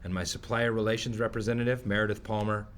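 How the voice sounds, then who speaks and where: A man's voice: fairly low pitch, fairly even intonation, fast, close to an everyday voice. A man playing a proud, officious office manager in a sitcom table read, formal, emphatic and pompous. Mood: proud, deliberate. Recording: faint background noise, live room.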